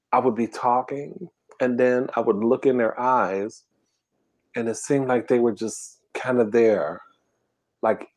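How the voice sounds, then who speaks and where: A man hosting a casual eating stream, talking softly and half-whispering in a relaxed, drowsy way while reading comments. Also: medium pitch. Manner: soft, quiet, half-whispered talk. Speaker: ASMR mukbang channel host.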